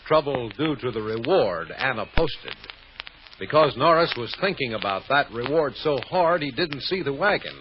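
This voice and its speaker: A male narrator of an old-time radio serial, telling the story dramatically at a middling pitch. The recording is muffled, with some noise behind him.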